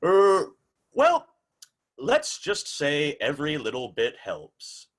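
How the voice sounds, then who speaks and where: A man's voice: fairly high pitch, wildly swooping pitch, takes it slowly, heavily acted. A man playing a formal, polite old-time radio hour host, announcing in a theatrical, scripted showman's style. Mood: genial, showy.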